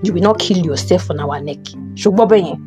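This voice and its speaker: A woman's voice: on the low side, quick pace, music in the background, natural voice. A woman playing a stern but caring older father in an audio drama, emphatic and admonishing, with a Nigerian accent.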